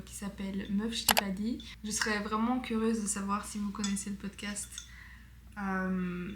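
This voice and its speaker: Chatty young woman, flat voice. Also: medium pitch. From Belgium. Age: 20s. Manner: chatty, many fillers, enthusiastic. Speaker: vlogger. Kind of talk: casual vlog chat. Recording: echoey room with faint noise.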